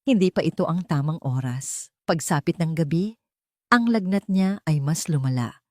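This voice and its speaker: Woman reading a drama audio story, lowish voice, really dry sound. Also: expressive, moderate pace, dark tone, no echo. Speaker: storyteller, narrator. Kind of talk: dramatic scripted audio-story narration in Tagalog.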